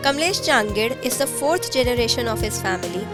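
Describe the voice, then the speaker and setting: A woman's voice: fairly high pitch, animated, a little fast. A woman narrating a news feature from a script. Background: music.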